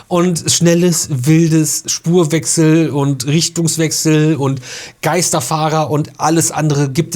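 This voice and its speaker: A man's voice: a little high, a little mellow. A young man hosting a travel vlog, giving casual, chatty tips in an informal, slangy way.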